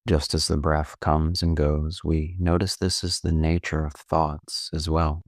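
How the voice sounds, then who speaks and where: Male meditation guide, extremely deep voice, dry studio-like sound, calm and gently instructive, taking his time between phrases. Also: dry recording. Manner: calm, unhurried, gently instructive with long pauses. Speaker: meditation coach.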